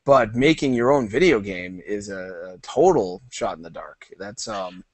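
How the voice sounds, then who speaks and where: A man's voice: fairly low pitch, expressive, a little slow, no room sound. A man hosting a casual interview, asking upbeat, curious questions. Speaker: interview host.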